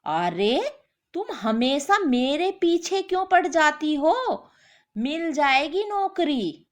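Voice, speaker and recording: A woman's voice: high-pitched, very animated, slow, pitched above the performer's own voice, exaggerated voice. A woman voicing a lazy, jobless husband who is whiny, indignant and self-pitying, in a story.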